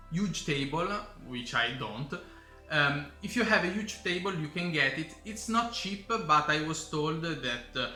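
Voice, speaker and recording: A man with an Italian accent explaining board game rules in English as a channel host, halting with many fillers and restarts. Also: mid-range voice, sharp tone. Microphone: camera microphone. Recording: roomy camera-mic audio with faint music.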